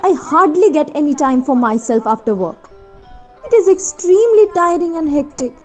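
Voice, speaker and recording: A woman's voice: high voice, big pitch swings, moderate pace, faint music behind. A woman playing a weary, peacemaking grown-up daughter in an amateur radio play, earnest and pleading.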